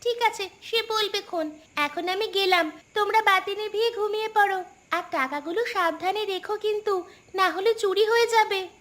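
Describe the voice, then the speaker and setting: A woman voicing a cheeky, sensible, outspoken young village granddaughter in an animated story. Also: very high-pitched, moderate pace. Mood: teasing. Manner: pert, outspoken. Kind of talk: Bengali animated story dialogue.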